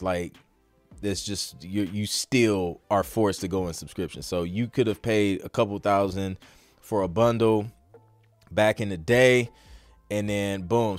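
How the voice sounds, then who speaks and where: Male podcast host, lower register, informal and slangy, dry close-mic sound over a faint music bed. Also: no echo. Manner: informal, slangy, repeats phrases. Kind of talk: casual podcast talk.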